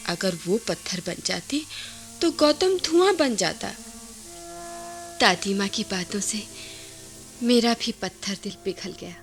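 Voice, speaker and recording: An actress in a Hindi radio play playing a tender, devoted village wife, speaking with expressive, emotional delivery. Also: female voice, mid-range voice.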